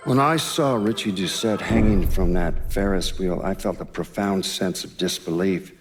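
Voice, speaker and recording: An actor playing a sober, reflective witness giving documentary-style interview testimony for a video game. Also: male voice, low-pitched, moderate pace. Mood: grave, reflective. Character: sober male witness recounting a mob killing, reflective.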